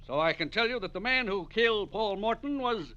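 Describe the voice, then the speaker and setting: High, sharp-voiced radio villain. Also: male voice, lively intonation, fairly quick, smooth, muffled recording. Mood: smug, menacing. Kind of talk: 1940s radio drama acting.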